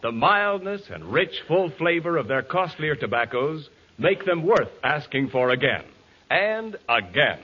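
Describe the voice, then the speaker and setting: A man delivering a 1940s radio advertising pitch as the announcer. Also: sing-song, unhurried, breathy edge. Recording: muffled old radio broadcast.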